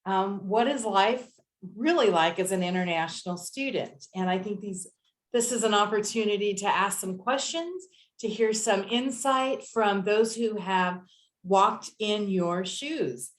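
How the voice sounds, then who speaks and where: A woman moderating an online student panel as an admissions director, speaking in a measured way with many "um" pauses. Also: medium pitch. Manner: measured, hesitant with many "um" fillers. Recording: echoey room.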